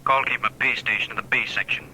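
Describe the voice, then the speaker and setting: Hard-edged police captain on telephone. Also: male voice, lowish voice, steady tone, quick pace. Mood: commanding. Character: brisk, commanding police captain giving orders. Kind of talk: 1940s radio drama acting. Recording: sharp, hissy old radio phone line.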